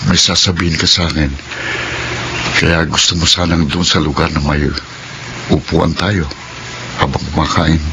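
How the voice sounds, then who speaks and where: A man's voice: rumbling low, little pitch movement, normal speed, long pauses, noisy background. An actor playing an attentive, devoted young working man in love in a Filipino radio drama. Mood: concerned.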